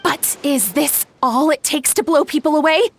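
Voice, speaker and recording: A woman playing an excitable, dreamy and earnest young amusement park showwoman in a video game, effusive and theatrical. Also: high-pitched, normal speed, slight background noise. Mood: eager, dreamy.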